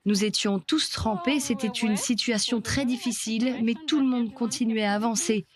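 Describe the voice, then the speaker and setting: Calm female voice-over translator. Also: on the high side, normal speed. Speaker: dubbing voice for an interviewee.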